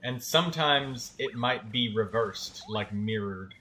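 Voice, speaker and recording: A man's voice: mid-range voice, expressive, slow, pushed and bright, slight background noise. A man giving casual, instructive gameplay commentary in colloquial language, as a gaming host helping another player. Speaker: livestream game host.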